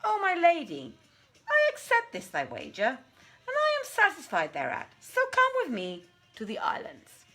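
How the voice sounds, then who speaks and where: A woman voicing an obsequious, flattering yet stubborn male demon spirit in a storybook, with florid archaic speech. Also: high voice, moderate pace, faint music behind, voice pushed up, full character voice. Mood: eager.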